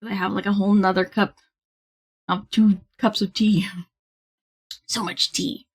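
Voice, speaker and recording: A woman livestreamer chatting casually with viewers in a bubbly, giggly manner, with a slightly husky voice. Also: mid-range voice, little pitch movement, a little slow. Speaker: livestreamer hosting a gaming stream. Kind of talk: casual stream chat with viewers.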